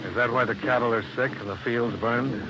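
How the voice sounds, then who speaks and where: An actor playing a tough, dry American insurance investigator in hard-boiled old-time radio style. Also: male voice, mid-range voice, fairly even intonation, a little fast, gravelly, natural voice. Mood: uneasy, probing. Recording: muffled, hissy old radio recording.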